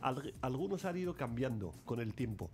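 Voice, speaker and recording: A man's voice: mid-range voice, normal speed, gravelly, faint music behind. Palestinian man. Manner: explains at length, steady and courteous, with small restarts. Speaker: ambassador, interview guest. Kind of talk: casual radio interview. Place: radio studio.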